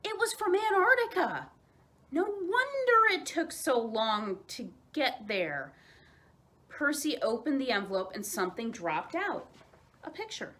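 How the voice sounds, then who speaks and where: A woman telling children's stories aloud as a library storyteller, expressive, with dramatic emphasis and pauses. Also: high-pitched.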